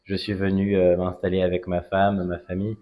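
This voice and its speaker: A man in his forties with a fairly low voice speaks as a guest in a conversational interview. He is from Strasbourg in France and builds his phrases carefully, pausing and hesitating often. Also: monotone, warm tone. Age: 40s. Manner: hesitant, measured phrasing with frequent pauses. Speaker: interview guest, cigar brand ambassador. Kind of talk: conversational interview, personal story.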